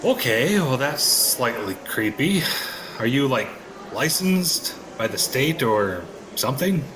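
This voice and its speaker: A skeptical American husband acted by a man, wry and hesitant yet curious. His mid-pitched voice swings expressively through casual audio-play dialogue, with obvious noise in the recording. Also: big pitch swings, takes it slowly. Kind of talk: audio drama acting in casual American talk.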